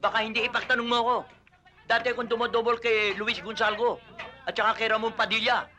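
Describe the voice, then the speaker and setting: An actor playing a greedy, fast-talking hustler who manages a would-be stunt double and haggles hard over money. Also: male voice, sits high, level tone. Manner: haggling, bossy, quick to shout. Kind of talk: Filipino comedy film acting.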